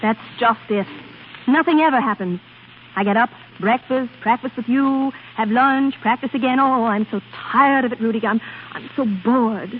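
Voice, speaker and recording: A woman's voice: fairly high pitch, moderate pace, close to an everyday voice. A woman playing a temperamental, bored opera singer who longs for romance, in theatrical 1930s radio style. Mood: petulant, weary. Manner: theatrical, petulant, impulsive. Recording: scratchy, narrow-band 1930s radio recording.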